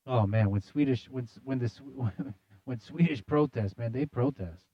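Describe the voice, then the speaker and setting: A man's voice: medium pitch, moderate pace, no room sound, lo-fi sound. A man hosting a live news show, casually reading articles aloud with jokey, slangy, sardonic commentary.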